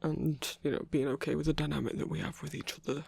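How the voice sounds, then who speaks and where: A man playing a gruff but tender werewolf boyfriend in an intimate ASMR roleplay, rambling in halting, sweary, hushed phrases with a husky, gravelly voice. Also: fairly high pitch, level tone, heavily acted. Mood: earnest, hesitant.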